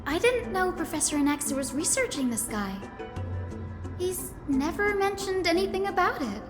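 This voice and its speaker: Echoing, reverberant sound with clear music behind it. a young female academy scholar from a video game, high-pitched and articulate. She explains things thoughtfully, with a curious, musing manner. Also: very animated, normal speed. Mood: musing, intrigued. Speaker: assistant lecturer at a scholarly academy. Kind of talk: video game character dialogue.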